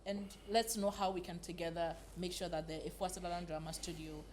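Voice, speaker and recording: A woman with a Ghanaian accent speaking formally and earnestly as an interview guest, in a measured way. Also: fairly low pitch, fairly even intonation, darkish tone, a little hiss or hum. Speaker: event coordinator, interview guest.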